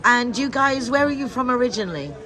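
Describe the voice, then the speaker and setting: A woman's voice: a little high, lively intonation, fast. A woman hosting a local lifestyle programme, interviewing guests in an effusive, complimentary manner. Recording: busy crowd behind the voice. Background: event crowd chatter.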